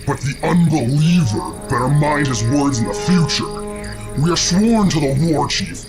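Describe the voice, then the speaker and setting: An actor playing a sly, condescending, menacing orc warlock chieftain in a fantasy game. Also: male voice, a little high, lively intonation, normal speed. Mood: haughty warning. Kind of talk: scripted fantasy game cutscene acting. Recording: loud music under the voice.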